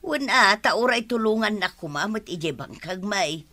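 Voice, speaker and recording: An actress playing a long-suffering, sharp-tongued village wife in an Ilocano radio comedy, nagging and sarcastic, with an Ilocano accent. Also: female voice, medium pitch, animated, normal speed. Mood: worried, pleading.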